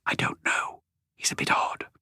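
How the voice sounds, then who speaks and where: A man voicing a secretive, uneasy housewife in a hushed, breathy whisper for an audiobook. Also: normal speed, dry recording, clean studio sound. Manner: secretive, careful.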